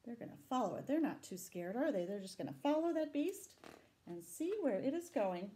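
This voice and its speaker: A middle-aged woman reading a picture book aloud to children, dramatic and deliberate, adding asides and questions for her young listeners. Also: fairly high pitch, sing-song, warm tone. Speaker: library storytime reader. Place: small room indoors. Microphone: camera a short distance away.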